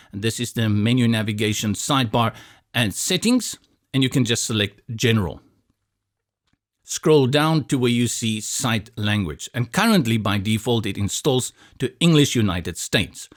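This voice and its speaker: A man from South Africa, Afrikaans his first language, with a South African accent, calmly talking through a software tutorial step by step with a bit of light humor. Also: medium pitch, moderate pace. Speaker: tutorial instructor. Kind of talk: screen-recorded software tutorial.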